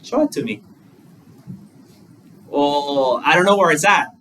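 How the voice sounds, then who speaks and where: A man's voice: fairly high pitch, animated, measured pace, a bit bright. A male Buddhist monk giving a dharma talk, conversational, using plain examples and rhetorical questions. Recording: distant mic with faint room noise.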